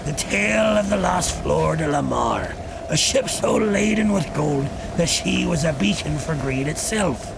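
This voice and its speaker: A cursed old pirate captain haunting the seas, spinning grim tales in a thick pirate dialect. His voice is gravelly and a little raised in pitch. He speaks very slowly and ominously, with theatrical grandeur. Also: lively intonation, background noise, exaggerated voice. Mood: grave, scornful. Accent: pirate-style dialect. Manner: ominous, grandiose, deliberate rhetorical delivery. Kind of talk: theatrical scripted monologue.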